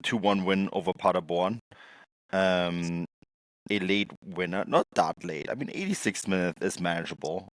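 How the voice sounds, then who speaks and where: A man's voice: sits low, moderate pace, no room sound. A man hosting a football podcast, chatting casually with guests, laughing often and using lots of filler words. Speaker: podcast host.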